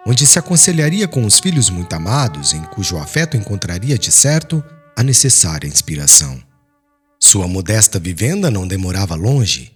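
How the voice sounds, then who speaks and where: A man reading an audiobook as a solemn, formal and dramatic literary narration. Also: medium pitch.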